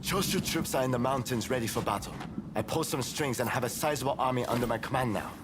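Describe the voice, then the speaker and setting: An actor playing a confident, persuasive rebel samurai leader in a game cutscene, assured and comradely. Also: male voice, medium pitch, fast talker, background noise, natural voice.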